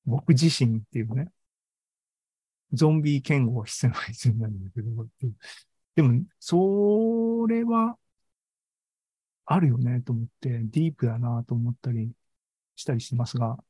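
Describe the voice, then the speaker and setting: A man presents an informal online tech talk in a mellow, relaxed voice. He thinks aloud in a conversational way and keeps tagging sentences for agreement. The sound is close and dry. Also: medium pitch, moderate pace, pauses often, breathy edge, no room sound, poor audio. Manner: conversational, seeks agreement with frequent sentence-end tags. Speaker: presenter of an online AI seminar and podcast. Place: indoors, live online video meeting.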